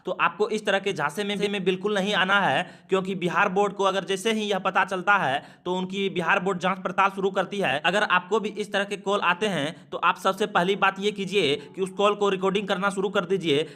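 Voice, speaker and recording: A man's voice: high-pitched, fairly even intonation, fairly quick, hardly any pauses, pushed and bright. A man with a Bihari accent hosting a video, emphatically explaining and repeating warnings to viewers. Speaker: video host giving advice.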